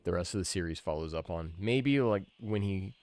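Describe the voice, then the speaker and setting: A man with a low-pitched voice talks casually as he streams a video game. He muses like an opinionated fan, thinking aloud in a conversational way. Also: expressive, normal speed, darkish tone, faint music behind. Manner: musing, opinionated fan chat. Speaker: streamer, podcast host.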